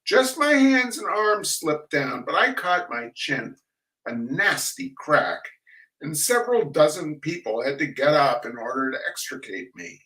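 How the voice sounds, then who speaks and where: An older man hosting a reading of humorous essays, chatting casually and reading aloud in a wry, theatrical, halting manner. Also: on the high side, big pitch swings, bright, forceful tone. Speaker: host of a weekly humor reading. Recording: roomy echo, distant microphone.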